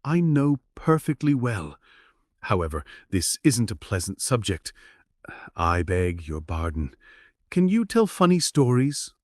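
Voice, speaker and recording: A man takes on a sad, pleading young wife in a stage play. The delivery is theatrical and plaintive, with highly animated pitch and an unhurried pace. The sound is very dry. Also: dry recording. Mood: wistful, bitter. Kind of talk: dramatic reading of a stage play.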